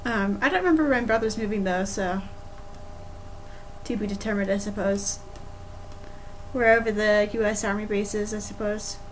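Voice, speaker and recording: A woman's voice: mid-range voice, normal speed, pauses often, poor audio. A young adult woman chats casually on a livestream, musing to herself in short bursts as she works. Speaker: animator livestreaming her work. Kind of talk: casual livestream chat while working. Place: indoor room at a desk. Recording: clear steady background noise.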